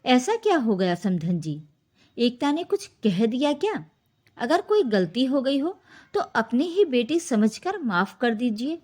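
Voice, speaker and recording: A woman's voice: fairly high pitch, sing-song, measured pace, darkish tone. A woman voicing a nervous, pleading middle-aged mother in a family story. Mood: anxious, pleading. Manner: flustered, pleading.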